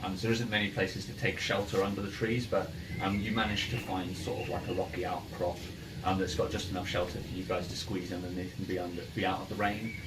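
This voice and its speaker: A man's voice: low-pitched, little pitch movement, fairly quick. A man running a tabletop game as game master, narrating casually and repeating words as he improvises. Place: in-person game around a table. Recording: distant mics, noisy background ambience. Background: ambient game music and noise.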